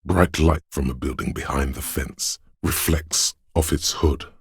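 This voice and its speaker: A man voicing a brooding, omniscient inner voice of a city in a video game, narrating gravely and poetically in a measured, husky, gravelly voice. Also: rumbling low, no room sound, clean studio sound, exaggerated voice. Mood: brooding, solemn. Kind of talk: slow literary second-person game narration.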